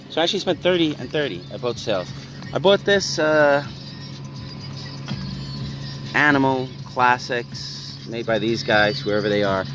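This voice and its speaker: A man's voice: mid-range voice, poor audio. A man reselling finds, talking casually to a handheld phone while browsing a cluttered house, often muttering half-whispered asides to himself. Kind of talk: casual vlog commentary. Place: cluttered house at an estate sale, indoors. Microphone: handheld phone camera. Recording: loud background noise.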